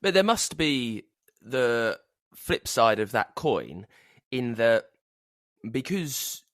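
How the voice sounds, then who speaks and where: A man interviews in a conversational podcast style, with a medium-pitched, very animated voice. He muses aloud and hesitates as he shapes his questions. The recording is dry, with no room echo. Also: slow. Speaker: podcast host interviewing a writer.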